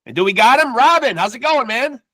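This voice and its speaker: A man hosting a podcast interview, talking in an energetic, casual way and calling his guest "man" again and again. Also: extremely high pitch, fairly quick. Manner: energetic, peppers speech with "man". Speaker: podcast host. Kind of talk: casual chat.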